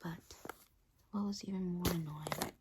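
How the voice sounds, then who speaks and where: A woman playing a menacing spider demon in an ASMR roleplay, whispering breathily in a rambling, deadpan, casually cruel way close to the mic. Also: lowish voice, a little slow, lightly acted. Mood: bored, cruel. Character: menacing female spider demon toying with her human prey. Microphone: close ASMR microphone. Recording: hissy close recording with clicks.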